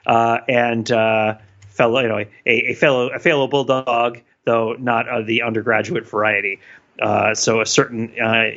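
A man co-hosting a podcast in casual conversation, his voice bright and forward. He speaks haltingly, with plenty of fillers. The sound is dull and lo-fi. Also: medium pitch, level tone. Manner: halting, with many fillers and laughs. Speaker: podcast co-host. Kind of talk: casual chat.

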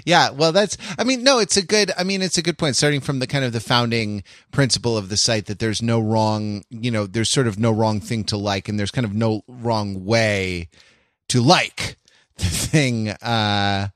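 A man hosting a podcast, casually moderating a panel with a halting delivery that often doubles back on itself. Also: on the low side, fairly bright tone, no echo, studio quality. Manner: halting, self-interrupting delivery. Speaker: podcast host.

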